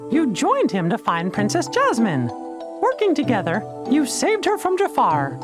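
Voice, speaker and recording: A kindly mouse leader in an animated game. The high falsetto is squeaky and very lively, telling things gently and earnestly, with music behind. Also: male voice, full character voice. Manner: gentle storytelling. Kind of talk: animated game character acting.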